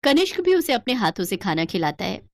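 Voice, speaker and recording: A woman's voice: medium pitch, very animated, brisk. A woman storyteller giving dramatic scripted narration for a cartoon tale.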